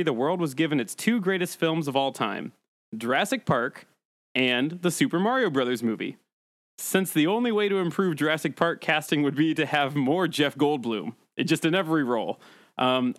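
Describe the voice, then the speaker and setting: A man's voice: fairly high pitch, a bit bright, no room sound. A man hosting a casual, joking podcast panel. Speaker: podcast host.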